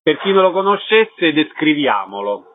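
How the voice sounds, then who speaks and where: A man's voice: a little high, big pitch swings, moderate pace, a little hiss or hum. A man hosting a radio interview, delivering questions and introductions in a prepared presenter's style. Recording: narrow tinny phone-like radio sound.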